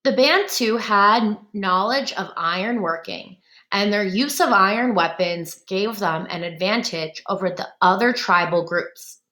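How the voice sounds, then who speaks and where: A woman teacher reading a textbook aloud to her class, pausing to explain each passage in simple, patient words. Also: mid-range voice, sharp tone. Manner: reads a passage, then restates it in simple words. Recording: roomy echo.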